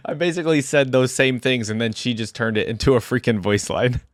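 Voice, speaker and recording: A man's voice: mid-range voice, a little fast, no echo. A man hosting a reaction video, giving casual, informal commentary as he thinks aloud.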